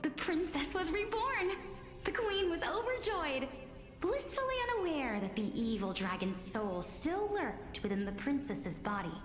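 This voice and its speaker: A playful young woman storyteller from a video game. Her voice is high and theatrical, made for dark legends. It rings with long reverb, and music plays behind her. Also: animated, unhurried. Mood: elated, then grave, ominous. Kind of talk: video game voice acting, dramatic tale narration.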